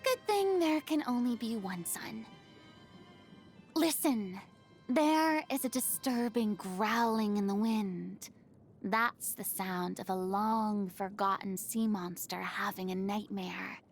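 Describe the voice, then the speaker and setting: A woman's voice: mid-range voice, slow pace, faint music behind. A woman voicing a vain, dramatic young celebrity performer in a video game, with a pompous, theatrical manner. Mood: flustered, then ominous, dramatic. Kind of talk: video game character voice lines.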